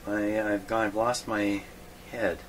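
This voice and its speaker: A man thinking aloud in an unscripted workbench video, halting and puzzled, muttering to himself in a self-deprecating way. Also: on the low side, little pitch movement, fairly bright tone. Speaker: host of a radio repair video. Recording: clearly noisy hobby video recording.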